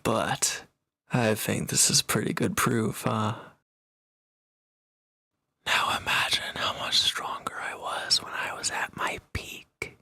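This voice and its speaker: A man playing a proud, teasing reformed villain and swordsman in an intimate audio roleplay, talking cockily to one listener in a gravelly voice. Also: lowish voice, fairly even intonation, takes it slowly, pushed and bright, no echo, in a whisper, clean studio sound, full character voice. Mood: smug, teasing, then menacing, hushed.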